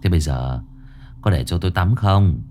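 Male reader playing flustered young man in a ghost story, exasperated and appeasing, animated low-ish voice. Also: normal speed, a little hiss or hum. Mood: weary, grumbling. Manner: flustered protesting, stammering, soothing. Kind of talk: audio ghost story character voice.

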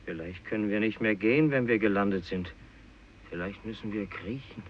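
A man's voice: lowish voice, normal speed, lightly acted. An actor playing a wounded, bitter fisherman, bleak and doubting, in a 1950s German radio drama. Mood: despairing. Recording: distant, muffled old radio recording, faint noise.

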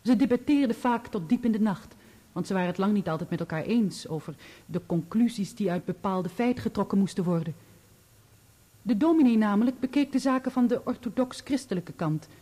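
A composed, loyal woman on the witness stand as an actress plays her. Her voice is soft-edged and mellow, and she answers in measured, formal sentences, in radio drama style. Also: medium pitch, close to an everyday voice. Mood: composed, assured. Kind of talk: Dutch radio play acting.

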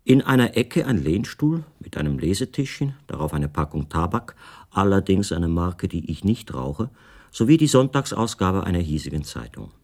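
Radio play captive narrator: deep register, composed, exact, detached first-person storytelling. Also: male voice, big pitch swings, normal speed, a little mellow, exaggerated voice. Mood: calm, observant. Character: captive male writer calmly recounting his confinement.

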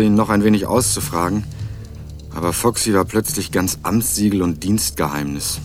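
Male actor as wry young student, low voice, laconic delivery, ironic crime radio play narration. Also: natural voice. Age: young adult. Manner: dry, ironic. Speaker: narrator and protagonist.

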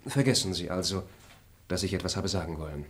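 An actor playing a reserved, formal noble traveller in a classic radio play, speaking in a measured way. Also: male voice, low voice, expressive. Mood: earnest. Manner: measured, formal, grows indignant. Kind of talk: stage radio play acting.

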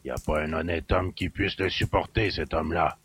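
A man voicing a surly, grumbling middle-aged country innkeeper with rustic speech and a gravelly growl. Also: sits low, fairly even intonation, a little fast, a bit bright, voice pushed down. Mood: resentful, scornful.

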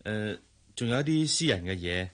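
A man's voice: fairly low pitch, expressive, normal speed, lightly acted. A man acting in a Cantonese radio drama with a Hong Kong accent, playing a polite married boss who is awkwardly flirtatious. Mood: hesitant, flirting. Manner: hesitant, polite.

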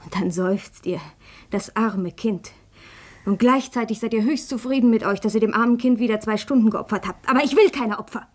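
Actress doing a bitter sickly young woman in a radio play, breathy husky, worked up, proud. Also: fairly high pitch, moderate pace, lightly acted. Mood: bitter, anguished. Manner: agitated, accusing, sharp shifts to hushed intensity. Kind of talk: dramatic German radio play acting.

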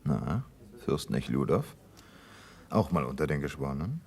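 An actor playing a jovial, teasing gentleman juror in a 1960s German radio play, with an ironic manner. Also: very deep voice, sing-song, normal speed, vocal fry, heavily acted. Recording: 1960s radio drama recording.

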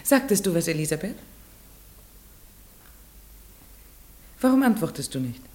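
Low-voiced schoolmistress with sweeping intonation. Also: female voice, big pitch swings, fast talker. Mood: attentive, explaining. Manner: questioning, shifts from commanding calls to soft coaxing. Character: village schoolteacher woman, strict yet probing and patient. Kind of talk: German radio play acting.

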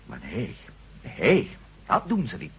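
Stubborn indignant reporter guy in a radio play, really husky, argumentative, dull old recording. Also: medium pitch, wildly swooping pitch, slow pace, heavily acted. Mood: grave, insistent. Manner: emphatic, argumentative, sardonic. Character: headstrong male ex-astronaut reporter, indignant and insistent. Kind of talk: Dutch radio drama acting.

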